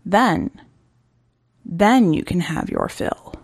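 A woman playing a calm, menacing male hunter in a storybook reading, speaking in a breathy, deliberate hush. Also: fairly low pitch, no room sound, heavily acted. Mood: quiet, knowing. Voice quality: breathy, whispery. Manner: hushed, deliberate.

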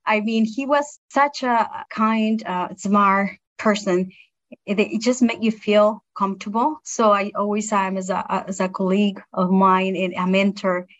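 The recording is a little muffled and lo-fi. A middle-aged woman speaks English as a second language, with a non-native accent. She talks in an earnest, appreciative way, like a guest reflecting in an interview. Her pitch sits in an ordinary female range. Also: steady tone, unhurried. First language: not English. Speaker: interview guest, produce industry science manager.

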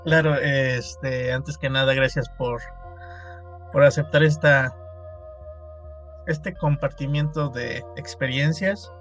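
A man in his thirties from Mexico with a Mexican Spanish accent, a guest on a podcast, speaking in a measured way. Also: medium pitch, fairly even intonation, fairly bright tone, faint music behind. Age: 30s. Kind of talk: casual podcast conversation.

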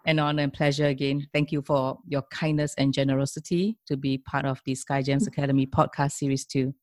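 A woman's voice: very deep voice, little pitch movement, darkish tone, no room sound. Courteous woman host, close dry sound. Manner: effusive, complimentary, deliberate. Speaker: podcast host. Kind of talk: formal, courteous interviewing.

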